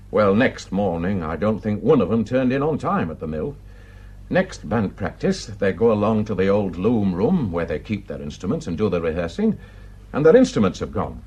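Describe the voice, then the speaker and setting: A man's voice: on the low side, darkish tone, breathy edge, full character voice. An actor playing a gossipy, plain-spoken village man with a Northern English accent, telling indignant tales in an emphatic, colloquial way in a radio drama. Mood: eager gossip. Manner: garrulous, emphatic, colloquial storytelling.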